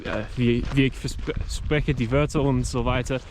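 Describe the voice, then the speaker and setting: A man whose native language is English, talking haltingly with many fillers as he vlogs from a mountain trail. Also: medium pitch, steady tone, a little mellow. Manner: halting non-fluent speech with many fillers. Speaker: hiking vlogger. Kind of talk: casual walking vlog. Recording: outdoor ambient noise.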